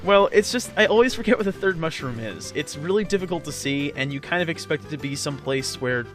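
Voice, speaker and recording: Male let's player, highish pitch, chatty rambling joker, light game music underneath. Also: lively intonation, fast talker, fairly bright tone. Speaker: let's play commentator. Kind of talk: casual gameplay commentary.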